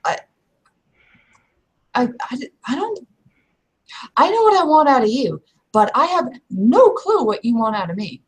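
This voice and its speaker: A woman playing a blunt but hesitant female werewolf in a tabletop game, talking in a halting, matter-of-fact way. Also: high-pitched, sing-song, husky, pitched above the performer's own voice, exaggerated voice. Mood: confused, frustrated.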